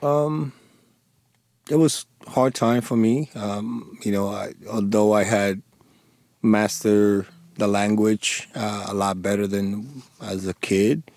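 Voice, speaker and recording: Dominican guy w thick spanish accent, low monotone, lots of restarts, dry sound. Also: dry recording. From Dominican Republic. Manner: halting, frequent restarts and fillers, earnest. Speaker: interview guest, former police sergeant. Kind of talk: radio interview.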